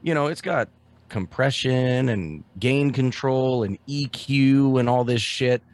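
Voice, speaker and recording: A man co-hosting a podcast in casual chat, loud and excitable, swearing freely. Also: mid-range voice, slow, no echo. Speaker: podcast co-host.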